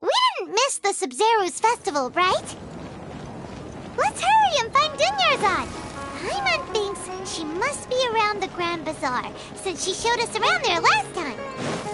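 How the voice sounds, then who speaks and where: A woman voicing a small, excitable, childlike fairy companion in an anime video game, chirpy, eager and squeaky. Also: unhurried, exaggerated voice. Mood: excited. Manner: chirpy, eager, speaks of self in third person. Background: loud game music.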